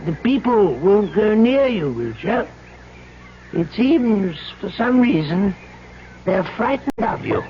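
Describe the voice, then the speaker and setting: High-voiced, slow, slippery colonial trader. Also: male voice, sing-song, full character voice. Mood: evasive, apologetic. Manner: affected, old-boy politeness, drawn-out hesitant delivery. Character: smooth, evasive, falsely apologetic colonial trader. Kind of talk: old-time radio drama acting. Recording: muffled radio recording with faint noise.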